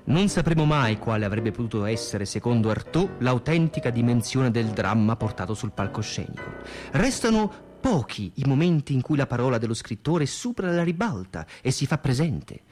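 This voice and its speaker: A man reading scripted radio theatre narration in an expressive, deliberate delivery. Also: mid-range voice. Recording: music under the voice. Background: music.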